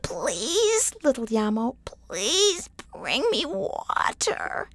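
A tiny wilted apple tree begs for water in a thin, breathy whisper, high and slow. A woman storyteller puts the voice on. The sound is close and dry. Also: very animated, a bit bright, dry recording, full character voice. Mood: pleading. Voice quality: faint whispery. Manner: slow, begging.

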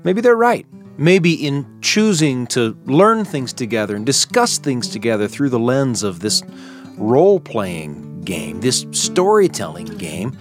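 American guy hosting a story show, earnest and really animated, dramatic pauses, guitar music faintly behind. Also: mid-range voice. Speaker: radio host. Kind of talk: scripted narration for a storytelling show.

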